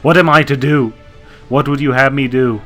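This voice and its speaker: A man's voice: medium pitch, steady tone, moderate pace, fairly bright tone, faint music behind, voice pushed up, lightly acted. A man playing a distraught, devout president in an audio drama, stammering and quavering with melodramatic fervour. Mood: pleading, shocked. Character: distraught, fanatically devout male president, easily overwhelmed. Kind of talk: melodramatic radio drama acting.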